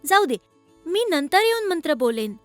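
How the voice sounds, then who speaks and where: A woman playing an earnest, kindly younger sister with expressive delivery in a children's cartoon. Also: extremely high pitch, normal speed, no echo, faint music behind. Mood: annoyed.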